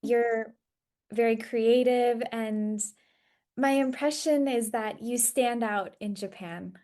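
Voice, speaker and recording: A woman's voice: fairly high pitch, takes it slowly, darkish tone. A woman from Hawaii hosting a podcast, asking her guest questions over a video call in an enthusiastic, affirming way. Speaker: podcast host.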